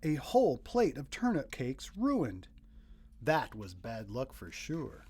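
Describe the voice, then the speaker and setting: Guy reading a kids picture book out loud, super animated up and down delivery. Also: medium pitch, slow pace, breathy edge. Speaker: storybook narrator. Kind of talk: expressive scripted narration of a children's picture book.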